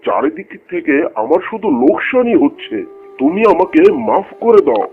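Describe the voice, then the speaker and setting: A man voicing a domineering tiger landlord in a Bengali cartoon, gravelly and blustering. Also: sits high, big pitch swings, normal speed. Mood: remorseful. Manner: blustering, swooping, bossy. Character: bullying, domineering male tiger landlord of the jungle. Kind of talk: Bengali cartoon character dialogue. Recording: dull lo-fi audio with music behind.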